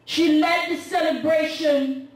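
A middle-aged woman preaching a sermon at a women's convention, half-singing her lines and rising to shouts. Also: high-pitched, fairly even intonation, slow. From Canada. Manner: impassioned preaching, half-sung, with shouted peaks. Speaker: guest speaker at women's convention. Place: church sanctuary. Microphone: handheld mic. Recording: echoey church sound from a distant camera.

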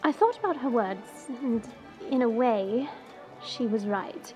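A woman playing a haughty, irritable dragon princess who narrates her own story in a measured, scornful way. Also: a little high, lively intonation, darkish tone. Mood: irritated, disdainful. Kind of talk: first-person story narration. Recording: music bed under the voice.

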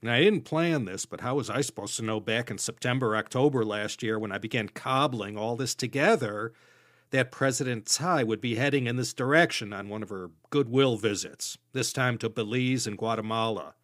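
A man's voice: medium pitch, fairly bright tone, dry recording. American man narrating a history podcast. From United States. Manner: measured storytelling with dry asides. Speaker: podcast host.

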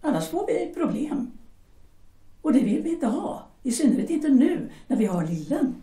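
A woman's voice: a little high, mellow, distant mic. A woman playing a pragmatic young German mother who insistently coaxes her husband. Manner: coaxing, insistent, brushes off doubts. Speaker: shipyard worker's wife. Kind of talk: amateur audio drama acting. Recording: echoey room, voice set back from mic.

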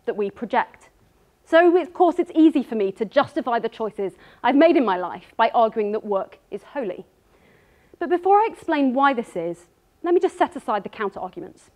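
A woman in her thirties giving a prepared talk on a dark stage, with an earnest, measured delivery as she reads from her notes. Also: on the high side. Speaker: speaker. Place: dark stage before an audience.

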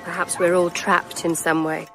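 A woman's voice: on the low side, little pitch movement, moderate pace, music in the background, close to an everyday voice. An actress playing a trapped, frustrated lady's companion in a radio play. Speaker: actor. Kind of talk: dramatic radio play acting.

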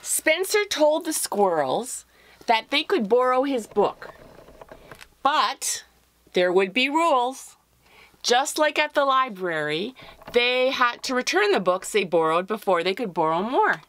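A middle-aged woman narrates a picture book for young children. She is expressive and very unhurried, drawing out phrases in a highish voice. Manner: expressive, deliberate, with drawn-out phrases. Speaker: author reading her picture book aloud. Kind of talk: children's storybook read-aloud.

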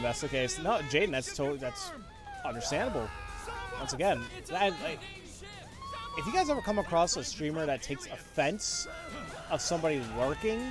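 A young man rambles through a game stream, restarting his sentences and bluntly airing opinions. His pitch swings widely as he talks. Game music plays underneath. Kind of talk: casual game commentary with chat replies. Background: game music and sound effects.

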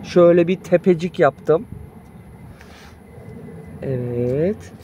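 A woman's voice: fairly low pitch, slow, warm tone, lo-fi sound, a little hiss or hum. A woman in her thirties, a mother filming her children as they play in a backyard, talking in a playful, coaxing way. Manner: playful, coaxing, laughs often. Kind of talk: casual family vlog narration. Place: backyard play area outdoors. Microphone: handheld phone camera.

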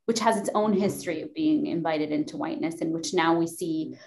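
A woman's voice: low voice, fairly quick, a little mellow, low-quality recording. A woman in her thirties from Lebanon, a podcast guest talking passionately and emphatically on a video call from a room at home, swearing and laughing often. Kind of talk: passionate conversational discussion. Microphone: video call webcam.

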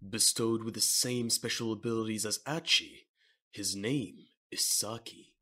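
Male narrator for manga audio drama, low register, rough-edged husky tone, dramatic scripted read. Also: steady tone, unhurried, pushed and bright.

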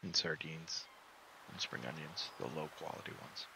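Young male streamer, deep and bright-toned, soothing and laid-back, jokey mumbled asides, light background hiss. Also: moderate pace, vocal fry, rough recording. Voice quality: soft, soothing. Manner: relaxed, joking, mumbles asides softly. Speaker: gaming streamer. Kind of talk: casual gameplay commentary.

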